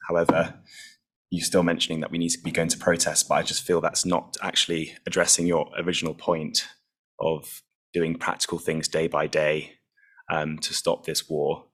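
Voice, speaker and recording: Deep, flat-voiced man with a London accent. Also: moderate pace. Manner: polite, earnest questioning. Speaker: audience member asking a question. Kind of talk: question at an online meeting. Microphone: video call microphone.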